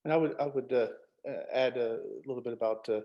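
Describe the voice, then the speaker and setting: A man giving halting explanations as a guest in a casual discussion. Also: medium pitch, highs cut off. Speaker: guest author.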